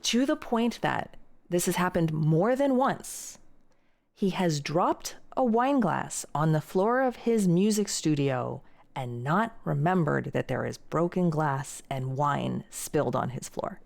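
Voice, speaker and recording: Young woman streamer, low gravelly voice, just chatting with chat. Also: expressive, slow pace, a little mellow. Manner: chatty, reads viewer messages aloud and answers them. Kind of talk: casual chat reading chat aloud.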